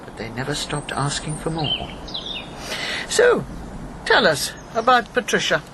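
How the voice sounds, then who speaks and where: A steely older woman, once a wartime agent and Nazi-hunter. She speaks with grave, measured control in naturalistic radio drama style. Birdsong and ambient noise sit clearly behind her. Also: low-pitched, wildly swooping pitch, exaggerated voice. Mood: probing.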